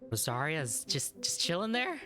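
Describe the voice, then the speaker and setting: A woman's voice: low-pitched, sing-song, moderate pace, pushed and bright. A woman giving casual, informal, teasing commentary while reacting to a video game. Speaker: gamer reacting on a video channel. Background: game music.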